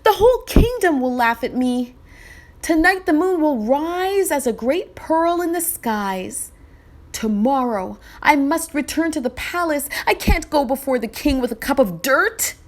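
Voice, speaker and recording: A woman's voice: high voice, expressive, a little slow, pitched above the performer's own voice. A woman voicing an earnest, dramatic young boy in a storybook read-aloud, with an emphatic, pleading delivery. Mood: distressed. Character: young boy Sanji, earnest, dramatic, devout would-be king.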